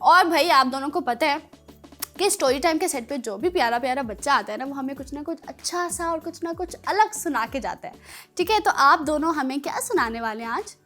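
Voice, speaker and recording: A woman presenting a children's TV show in an expressive, playful, teasing way, speaking directly to her listeners. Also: high-pitched, fairly quick, soft background music.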